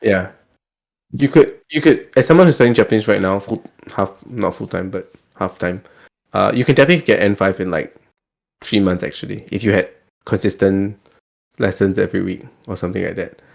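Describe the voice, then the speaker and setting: A man's voice: fairly low pitch, a little fast, creaky. A Singaporean man chatting casually as a podcast host, talkative and often repeating his words as he goes.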